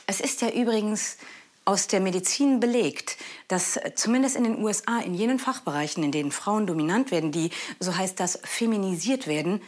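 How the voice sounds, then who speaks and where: An actress playing a harried, broke art worker who thinks aloud in a rambling, self-interrupting spoken-word monologue. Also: female voice, lowish voice, breathy edge. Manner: rambling, self-interrupting, mixes in English announcements.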